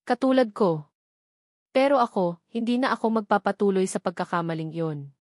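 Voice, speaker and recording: A woman's voice: mid-range voice, lively intonation, moderate pace, darkish tone, no room sound, studio quality, lightly acted. Woman voicing an earnest, pleading young man, firm. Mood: resolute, hurt.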